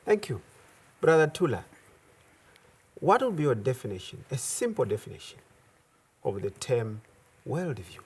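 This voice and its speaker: Husky guy hosting a talk show, pitch jumps around a lot, slow careful questions. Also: very animated, a little mellow. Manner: measured, deliberate phrasing. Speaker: host.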